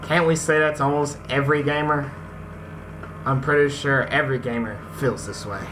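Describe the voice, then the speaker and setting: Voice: medium pitch, steady tone, moderate pace, low-quality recording. A gaming host reading a visual novel aloud in a let's-play, stumbling over some words and talking straight to viewers. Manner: reads text aloud, stumbles on words, addresses viewers directly. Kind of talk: let's-play narration with casual asides. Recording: music behind the voice. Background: visual novel game music.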